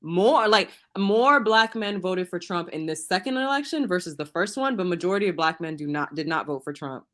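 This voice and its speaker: Twenties woman, animated voice, arguing hard on a call. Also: lowish voice, normal speed, few pauses. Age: 20s. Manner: emphatic, argues with figures. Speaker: guest. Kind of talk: casual debate chat.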